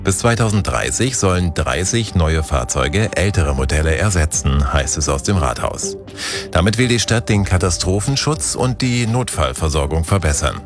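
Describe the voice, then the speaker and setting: Brief: male newsreader, very low voice, steady delivery, music bed under. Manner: calm, measured news delivery. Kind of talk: scripted radio news.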